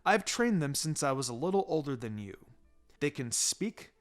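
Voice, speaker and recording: A man voicing a calm, dry adult ninja teacher in a story reading, with a low-key, gently chiding manner. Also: moderate pace, faint music behind. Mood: calm, proud.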